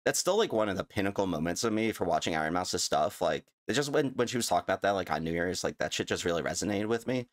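A man's voice: low voice, animated, quick pace, few pauses. A middle-aged man streaming on a headset mic from his home setup, reacting in casual live chat, swearing freely and laughing easily.

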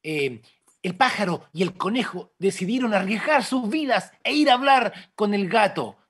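A man speaks in a raised, husky voice on a video call. He moves between storybook narration and workshop talk. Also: sits high, lively intonation, moderate pace, a bit bright. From Chile. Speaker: narrator and theatre company member.

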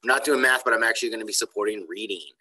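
A man's voice: on the low side, very fast, pushed and bright, breathy edge, low-quality recording. A man in his forties, a schoolteacher, reading a children's storybook aloud with expression. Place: bright indoor school room. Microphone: computer webcam on a video call.

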